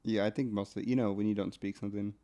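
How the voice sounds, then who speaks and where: A young man with a fairly low voice talks in a relaxed podcast chat. He is easygoing and lets his sentences trail off. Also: fast talker, highs cut off. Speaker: podcast co-host. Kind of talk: casual chat.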